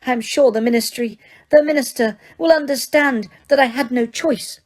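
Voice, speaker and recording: A woman voicing a menacing, prim middle-aged witch official in a high, tight, coldly insistent voice. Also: normal speed, natural voice. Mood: agitated.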